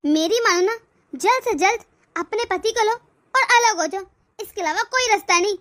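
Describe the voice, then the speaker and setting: एक औरत घरेलू मेलोड्रामा कहानी में जवान पत्नी को ज़ोर देकर सलाह देती रौबीली, चालबाज़ सहेली का किरदार निभा रही है।. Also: female voice, very high voice, moderate pace, a bit bright. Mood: urging, provoking. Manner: insistent, instructing. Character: bossy, scheming female friend advising a young wife. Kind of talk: melodramatic domestic story acting.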